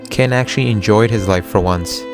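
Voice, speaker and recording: A man's voice: on the low side, a little fast. A man reading a scripted story recap as narrator, with guitar music behind him.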